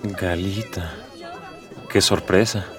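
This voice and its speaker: A man's voice: low-pitched, fairly even intonation, slow, a bit bright, distant mic, faint music behind, a faint crowd. An actor with a Mexican accent playing a humble everyman hero in a radio drama, talking colloquially and a bit hesitantly. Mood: flirtatious. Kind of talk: scripted radio drama acting.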